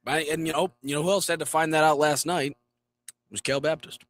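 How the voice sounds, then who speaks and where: A young man talking sports on a radio show, his voice hoarse from shouting, joking in loose banter. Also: fairly high pitch, steady tone, brisk, dry recording. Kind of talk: casual sports chat. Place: radio studio. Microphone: studio mic.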